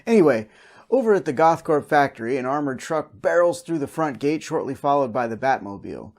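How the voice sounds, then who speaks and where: A man's voice: medium pitch, very animated, a little fast, a little mellow. A man hosting a recap and review show, delivering scripted comedic narration.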